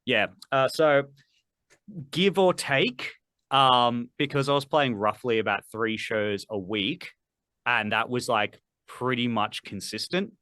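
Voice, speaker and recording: A man's voice: mid-range voice, takes it slowly, sharp tone, dry recording. Rambling man, dry close sound. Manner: rambling, filler-heavy, swears casually. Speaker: podcast guest, metal vocalist. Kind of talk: casual interview chat.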